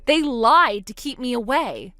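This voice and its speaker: A woman's voice: measured pace, no echo. A woman voicing a determined older sister who speaks firmly and steadily. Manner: firm, steady, lower-voiced.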